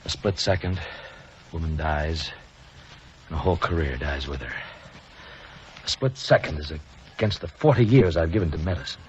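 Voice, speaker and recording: A worn-out older surgeon. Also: male voice, extremely low pitch, lively intonation, normal speed, pauses often, darkish tone, a little hiss or hum. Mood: exhausted. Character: older male senior surgeon, proud and worn out. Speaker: actor in radio drama. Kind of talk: 1940s radio acting. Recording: dull old radio recording with music.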